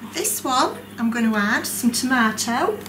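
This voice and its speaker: A woman in her forties calmly demonstrating a recipe step by step as a cooking instructor, recorded from a distant camera in a large college kitchen classroom. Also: fairly high pitch, moderate pace. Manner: calm, clear, instructive. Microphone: distant camera mic. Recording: echoey room, voice from afar. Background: kitchen clatter and room noise.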